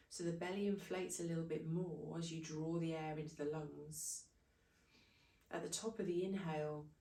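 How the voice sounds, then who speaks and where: A woman leading a guided breathing meditation, giving soothing, unhurried instructions in short phrases. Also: sits low, warm tone. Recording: echoey room, distant from microphone.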